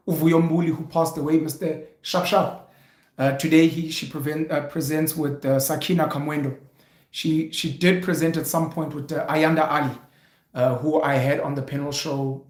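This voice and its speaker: A South African man giving unscripted opinion commentary as a vlog host, speaking in a deliberate, emphatic, explanatory way. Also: a little high. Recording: echoey room.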